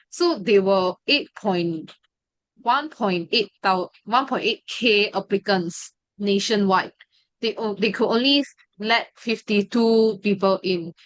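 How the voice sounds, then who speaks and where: A woman's voice: mid-range voice, no room sound, rough recording. Hesitant young woman, dry sound. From Malaysia. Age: 20s. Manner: hesitant, full of fillers and restarts. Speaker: study group member sharing her story. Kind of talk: personal testimony.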